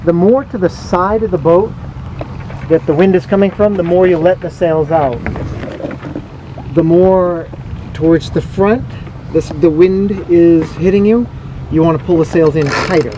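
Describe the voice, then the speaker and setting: An older man captains a sailboat and explains the basics in a practical, no-fuss way, his voice on the high side. He is out on the open deck, with wind and water noise clearly around him. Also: measured pace, long pauses, highs cut off. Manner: matter-of-fact, practical explanations. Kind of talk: casual instruction interview. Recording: wind and boat noise outdoors.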